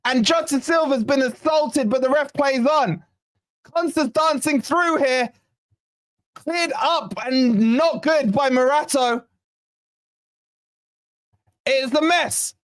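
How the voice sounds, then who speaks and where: A man hosting a fan channel's live watch-along, hoarse from shouting, giving excited, partisan play-by-play with swearing. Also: very high-pitched, slow pace, long pauses, dry recording. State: hoarse, losing his voice. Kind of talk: excited fan match commentary.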